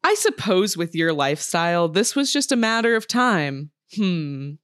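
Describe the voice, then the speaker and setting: Woman playing an unfazed deadpan doctor. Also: on the low side, normal speed. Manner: deadpan, matter-of-fact. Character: unfazed clinical female doctor hearing absurd confession.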